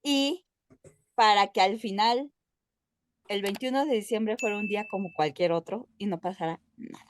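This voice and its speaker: A woman's voice: mid-range voice, moderate pace, slight background noise. A woman co-hosting a podcast, explaining things casually and addressing listeners directly. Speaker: podcast co-host.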